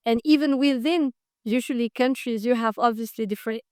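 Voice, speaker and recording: A woman's voice: fairly high pitch, a little fast, smooth, no room sound, studio quality. A woman from France, a guest in an interview, explaining at length in a fluent, casual way with some fillers. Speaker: guest, tour guide.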